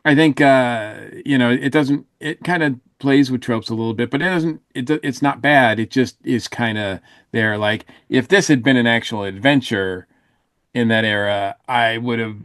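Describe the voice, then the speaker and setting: A man on a podcast panel giving his opinions in a casual, halting, hedging way. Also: mid-range voice, fairly bright tone, no room sound, clean studio sound. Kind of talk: casual review chat.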